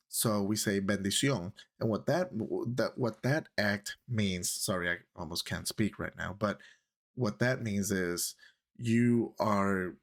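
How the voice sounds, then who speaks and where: A man's voice: deep voice, fairly bright tone. A man in his thirties with a Puerto Rican accent, chatting casually to his viewers and rambling a little. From Puerto Rico. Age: 30s. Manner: chatty, rambling, switches into Spanish phrases. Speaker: YouTube creator. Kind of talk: casual talk to camera.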